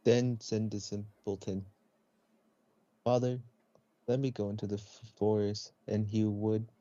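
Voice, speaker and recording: A man reading a children's story aloud in a somewhat halting way. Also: on the low side, flat delivery, warm tone, no echo. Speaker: storybook reader. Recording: dull, lo-fi close recording.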